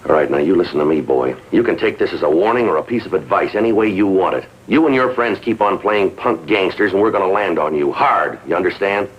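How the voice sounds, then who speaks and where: An actor playing a stern, no-nonsense police sergeant in 1950s TV crime drama style, clipped and authoritative. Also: male voice, deep voice, animated, fast, few pauses, breathy edge. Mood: stern warning. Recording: dull lo-fi 1950s TV soundtrack.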